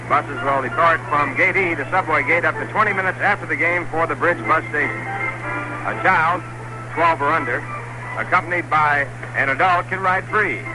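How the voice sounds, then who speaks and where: A man's voice: fairly high pitch, normal speed, rough recording. A man reading a friendly, informative promotional announcement during a 1960s baseball radio broadcast. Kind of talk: live-read promotional announcement. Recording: vintage radio broadcast with music.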